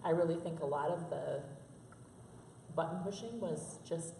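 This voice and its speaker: A woman, hoarse from allergies, talking frankly about parenting. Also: low-pitched, moderate pace, background noise. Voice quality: gravelly. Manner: frank, chatty, laughs often. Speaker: interview guest, mother. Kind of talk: candid conversational interview. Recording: echoey room from a distance.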